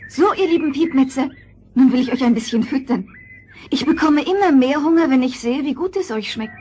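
An actress playing a gentle, meek orphan girl in a fairy-tale audio play, sweet and earnest. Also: high-pitched, expressive, moderate pace, a little hiss or hum. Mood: delighted. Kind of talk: German fairy-tale audio drama acting.

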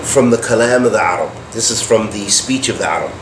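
A man giving a religious lecture, explaining terms deliberately and posing questions he then answers himself. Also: fairly low pitch. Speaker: Islamic teacher. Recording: echoey room with air-conditioning hum.

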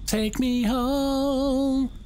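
A young man with a croaky voice, chatting and joking through a horror game as a gaming YouTuber. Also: very high voice, fairly even intonation, very slow, darkish tone, a little hiss or hum, poor audio. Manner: chatty, jokey, reacting aloud. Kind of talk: casual let's-play commentary.

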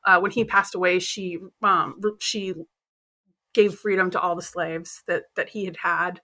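Bright-voiced woman chatting on a video call. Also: lowish voice, unhurried. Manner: animated storytelling, acts out quoted voices. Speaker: podcast co-host. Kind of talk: casual chat. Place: home room with bookshelves and poster. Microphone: earbud headset on video call.

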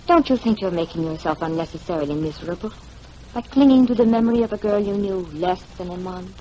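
An actress playing a worldly, amoral married femme fatale in a 1940s radio drama, with formal phrasing. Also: female voice, on the low side, quick pace, dark tone, lo-fi sound, close to an everyday voice. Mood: seductive. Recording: 1940s radio recording, faint noise.

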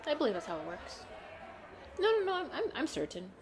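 Woman acting as playful girlfriend, roleplay audio, bubbly, expressive, very lively intonation, background ambience. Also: high-pitched, brisk, some chatter behind, a little hiss or hum, exaggerated voice. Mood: excited, teasing. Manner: bubbly, expressive, frequent giggles and exclamations. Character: playful, excitable, pleading girlfriend out costume shopping.

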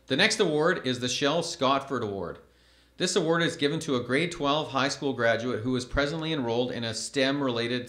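A man in his thirties reads award citations at a podium, his middle-pitched voice measured and formal. The room rings around him with a roomy echo, as on a school event stage. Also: fairly bright tone. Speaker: awards ceremony announcer. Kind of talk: reading award announcements. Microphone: podium microphone.

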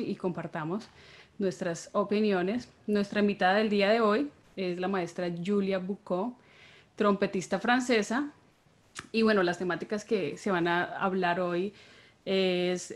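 A young woman from Colombia hosting an online session and introducing a guest, friendly, with hesitant fillers. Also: mid-range voice. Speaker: host. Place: small indoor room. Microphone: video call.

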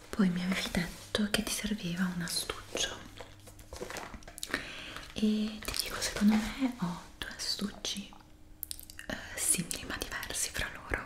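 ASMR roleplay: woman in her twenties, breathy low whisper, gentle unhurried delivery, close to the mic. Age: 20s. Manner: gentle, unhurried, speaking as if to a customer. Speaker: ASMR creator playing a stationery shop assistant.

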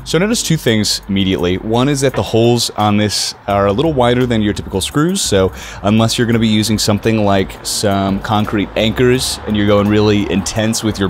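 A man's voice: lowish voice, a little hiss or hum. A young American man in his twenties presenting a product setup tutorial in a casual but scripted way, close to the microphone.

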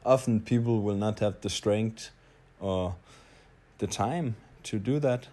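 A man in his late twenties from Denmark with a Danish accent, telling his story in a halting, hesitant audio-blog monologue. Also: fairly low pitch, dark tone. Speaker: traveler, audio blogger.

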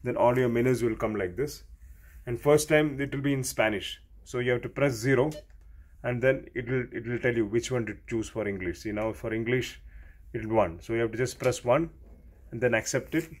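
A man's voice: medium pitch, fairly quick. A man giving hands-on technical training in a step-by-step, repetitive way, as a technician showing how equipment works. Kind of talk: hands-on instructional explanation.

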